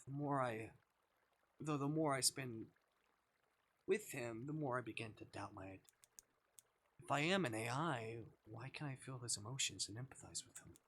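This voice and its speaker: A man's voice: mid-range voice, moderate pace, long pauses, fairly bright tone, husky. A man streaming live, reading visual novel narration aloud and now and then tripping over the words and correcting himself.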